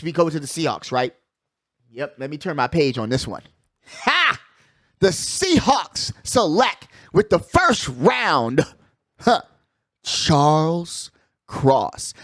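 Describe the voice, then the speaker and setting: A man with a high voice hosts casual sports talk in a very animated way. His pitch swings widely as he makes emphatic points, repeating himself and dropping slangy asides. The sound is close and dry. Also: no room sound. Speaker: sports talk show host. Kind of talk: casual sports debate and commentary.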